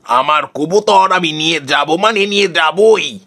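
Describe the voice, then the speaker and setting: A man's voice: fairly high pitch, unhurried, sharp tone. A man playing a blustering, confrontational village neighbour in a cartoon story. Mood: accusing, demanding. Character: blustering male village neighbour, accusing and demanding. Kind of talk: Bengali cartoon story voice acting.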